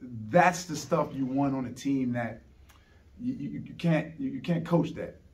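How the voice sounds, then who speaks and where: A man's voice: mid-range voice, measured pace, a little mellow. A middle-aged man, a basketball coach, answering postgame questions earnestly at a press conference, often repeating words as he restarts. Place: press conference room, seated at a table. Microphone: table microphone on a stand. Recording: heard from some distance.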